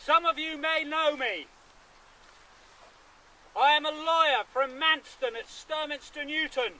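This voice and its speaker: A man playing a defiant seventeenth-century country lawyer, declaiming deliberately to rally his armed neighbours outdoors in a historical reenactment. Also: very high-pitched, pushed and bright, heavily acted, rough recording. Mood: earnest, grave. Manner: declamatory, deliberate, with emphatic pauses. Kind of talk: reenacted historical public speech. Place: outdoors at a rural gathering. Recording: outdoor recording with background noise.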